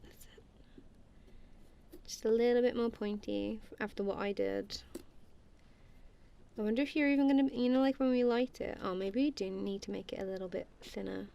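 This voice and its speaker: A woman's voice: mid-range voice, normal speed, vocal fry, pauses often, mellow, dull audio. A woman doing a friendly, goofy ASMR roleplay as casual one-sided chat, playful and giggly, with a self-deprecating, apologetic manner.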